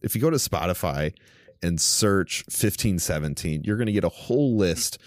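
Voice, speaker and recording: Low-voiced man hosting a podcast. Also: lively intonation, normal speed, no echo, clean studio sound. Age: 30s. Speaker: podcast host. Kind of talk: casual theology podcast chat.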